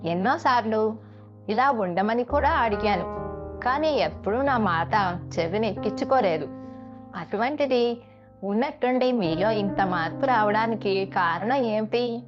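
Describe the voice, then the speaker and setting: A woman's voice: fairly high pitch, expressive, measured pace. A woman playing an innocent, long-suffering wife who speaks with earnest, questioning sincerity in a moral story. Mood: puzzled, sincere. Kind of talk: dramatized moral story dialogue. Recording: dull lo-fi audio under music.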